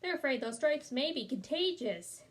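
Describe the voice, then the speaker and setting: A woman's voice: sits high, very animated, moderate pace, fairly bright tone, voice pushed up. A woman voicing an apologetic but firm male school principal in a storybook, speaking formally.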